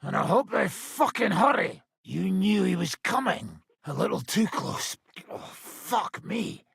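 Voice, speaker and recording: A very hoarse, heavily gravelled medieval mercenary boss from a video game. He is gruff, sardonic and blunt, giving orders with a pitch that moves about freely. The sound is close and dry. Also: very animated, measured pace, fairly bright tone, dry recording, heavily acted. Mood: weary, grim. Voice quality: gravelly, raspy. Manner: blunt, sardonic, commanding, swearing. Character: gruff, foul-mouthed ageing medieval mercenary band leader.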